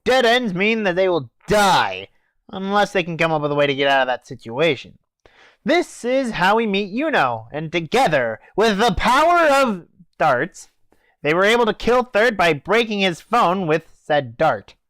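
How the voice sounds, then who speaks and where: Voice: mid-range voice, very animated, normal speed, a bit bright, dry recording. A reviewer hosting a scripted comic review, playing the exasperated straight man. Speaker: host, anime reviewer.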